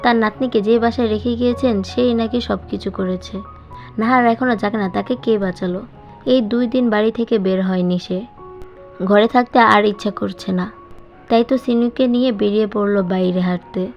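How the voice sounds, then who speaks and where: A woman narrates a dramatic novel in a scripted storytelling style. Her voice sits at a medium pitch. Music runs behind her, and the recording sounds a bit muffled. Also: steady tone, normal speed, a little mellow. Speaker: audiobook narrator.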